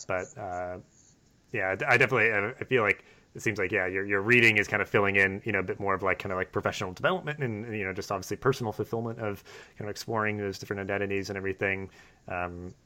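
A man's voice: fairly low pitch, pushed and bright. A man hosting a podcast, talking casually and rambling, packing his sentences with "like" and "you know". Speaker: podcast host. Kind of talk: casual conversational interview.

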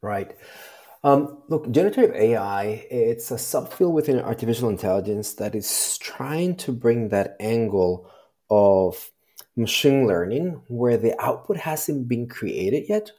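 A man's voice: mid-range voice, expressive, unhurried, mellow. A man with a Spanish accent, a guest on a podcast, talking in a jokey, friendly way. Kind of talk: casual interview.

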